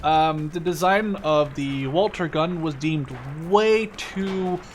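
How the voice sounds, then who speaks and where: A man's voice: fairly high pitch, takes it slowly, a little hiss or hum. A man in his twenties from the Netherlands giving casual, rambling gameplay commentary, often correcting himself and swearing casually. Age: 20s. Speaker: gaming video host.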